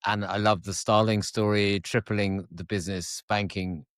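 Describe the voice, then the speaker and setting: A man's voice: lowish voice, steady tone, normal speed, fairly bright tone, clear, resonant tone, dry recording, clean studio sound. A man hosting a podcast interview in a friendly, enthusiastic way. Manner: friendly, enthusiastic, some restarts. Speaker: podcast host.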